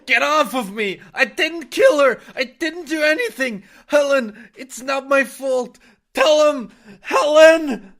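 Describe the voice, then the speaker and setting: Desperate young man, slow-paced. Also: very high voice, animated, vocal fry, fairly bright tone, full character voice. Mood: panicked, shouting. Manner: desperate, pleading. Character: frantic, guilt-ridden young man accused of murder. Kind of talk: horror audio drama acting.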